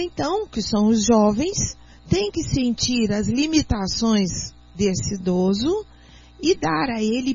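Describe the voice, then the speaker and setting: A Brazilian woman speaking as a doctor and guest on a radio talk show, explaining in an earnest, teacherly way and sometimes reading aloud slowly. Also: sits high, a little mellow, breathy edge. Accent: Brazilian Portuguese. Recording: muffled lo-fi radio audio.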